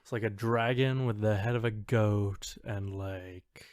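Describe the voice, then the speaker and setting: A man co-hosting a podcast in casual banter, halting and joking as he thinks aloud. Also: fairly low pitch, steady tone, darkish tone, dry recording, clean studio sound. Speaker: podcast co-host.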